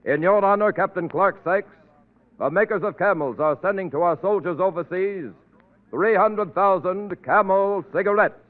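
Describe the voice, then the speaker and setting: A man's voice: high-pitched, moderate pace, phone-quality sound. An American man reading scripted narration in 1940s radio announcer style. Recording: thin band-limited 1940s radio broadcast.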